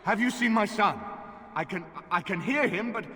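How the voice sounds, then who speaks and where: A man's voice: sits high, normal speed, a bit bright. A man playing an anxious, lost noble father in a video game, pleading and hesitant, heard in a long reverb. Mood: searching, bewildered. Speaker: game character. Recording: long cavernous reverb effect.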